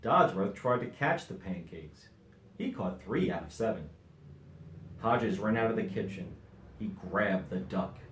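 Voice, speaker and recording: A man's voice: fairly low pitch, expressive, measured pace, a little hiss or hum. Middle aged guy reading a storybook to kids, lots of up and down, echoey room. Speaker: volunteer story reader. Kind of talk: expressive children's storybook narration.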